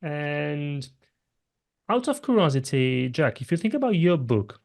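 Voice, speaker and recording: A man's voice: on the high side, very animated, a little slow, gravelly, darkish tone. A man with an Italian accent, a native Italian speaker, hosting a podcast interview and politely asking his guest questions. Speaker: podcast host.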